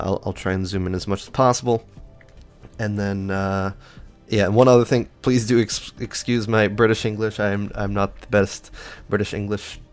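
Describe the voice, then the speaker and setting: Guy with a lowish voice going over game stats, rambly and offhand, lots of uhs. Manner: rambling, many fillers and restarts, offhand. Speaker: gaming channel host. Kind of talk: casual gameplay stats walkthrough.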